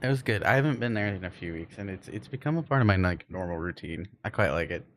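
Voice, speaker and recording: A man's voice: low-pitched, animated, fast talker, fairly bright tone. A man streaming a game and chatting casually with viewers, rambling and often searching for his words. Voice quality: cannot roll R's. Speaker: game livestreamer. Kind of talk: casual livestream chat.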